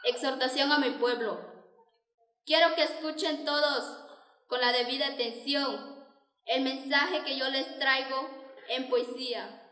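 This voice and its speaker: A young woman, a native Zapotec speaker from Oaxaca, declaiming a poem slowly and emphatically as a student orator on an auditorium stage. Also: high-pitched, fairly even intonation, bright, forceful tone. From Oaxaca, Mexico. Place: large indoor auditorium stage. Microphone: stand mic, filmed from far off. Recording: far-off roomy hall recording.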